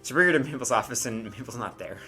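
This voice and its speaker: A man's voice: mid-range voice, animated, quick pace, sharp tone, faint music behind. A man narrating a tabletop game as game master in a casual, conversational way.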